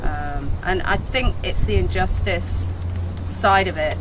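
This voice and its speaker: A woman's voice: on the low side, slow. A woman journalist giving candid, plain-spoken answers in an informal interview. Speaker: interview guest, freelance journalist. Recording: loud wind and engine noise outdoors.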